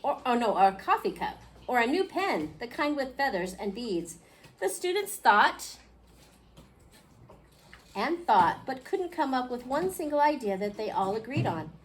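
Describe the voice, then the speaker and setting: A woman reading a picture book aloud to a class, expressively, pausing to question her young listeners. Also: fairly high pitch, very animated. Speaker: children's storybook reader. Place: indoor school classroom. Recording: clearly noisy classroom recording.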